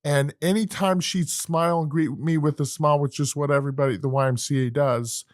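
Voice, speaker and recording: A man co-hosting a podcast in casual chat, earnest and halting, often repeating words and starting over. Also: on the high side, steady tone, no room sound, clean studio sound. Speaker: podcast co-host.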